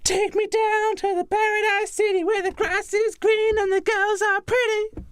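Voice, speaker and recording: A man playing a high-pitched rock singer, wailing out drawn-out lines in an amateur comedy radio play. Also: flat delivery, fairly bright tone. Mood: exuberant wailing. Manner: drawn-out, wailing falsetto singing.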